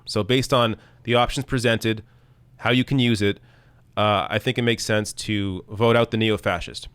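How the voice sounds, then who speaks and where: A man with a low, smooth voice argues his points in a blunt live-stream commentary, his voice growing slightly hoarse. The sound is dry and close, with no room echo. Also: fairly bright tone. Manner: blunt, argumentative, reads comments and tweets aloud. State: losing his voice. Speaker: political commentary host.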